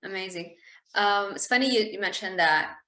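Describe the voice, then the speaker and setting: A woman's voice: medium pitch, moderate pace, pushed and bright. Woman podcast host in a roomy, echoing space. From Lebanon. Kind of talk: conversational podcast interview.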